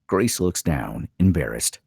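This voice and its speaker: A man's voice: extremely low pitch, expressive, unhurried, vocal fry, a little mellow, husky, no echo, studio quality. A man reading stage directions as the narrator of a screenplay table read.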